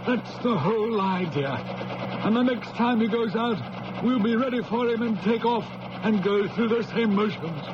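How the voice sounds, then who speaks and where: An actor playing a calm, patient male police inspector with a steady, quietly menacing way of talking, in a 1970s radio drama. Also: high-pitched, moderate pace, muffled recording, lightly acted. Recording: hissy old radio broadcast.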